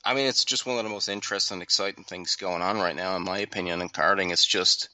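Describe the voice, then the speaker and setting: Low, bright-toned Irish man, dry sound. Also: fast talker, no room sound. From Ireland. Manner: relaxed, chatty, loose swearing. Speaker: podcast guest. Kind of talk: casual podcast chat.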